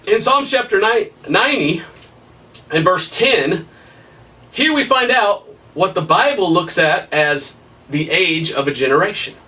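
A man in his thirties preaching a Bible study at a whiteboard, emphatic and excitable, his voice somewhat hoarse. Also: high-pitched, unhurried, recorded from a distance. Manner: emphatic, rising to excited peaks, laughs at his own points. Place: small room in front of a whiteboard. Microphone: camera at a distance.

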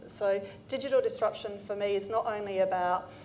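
A woman's voice: mid-range voice, brisk, a little mellow, a little hiss or hum. Thoughtful woman on a panel. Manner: thoughtful, searching for words. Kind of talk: panel discussion. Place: event studio with branded backdrop. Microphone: lapel mic.

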